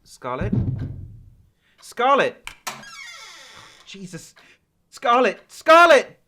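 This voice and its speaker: A man's voice: extremely high pitch, wildly swooping pitch, very slow, pushed and bright, exaggerated voice. An actor playing an anguished, guilt-ridden boyfriend in a radio drama, hesitant and stammering as he defends himself. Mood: desperate. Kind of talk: naturalistic radio drama acting.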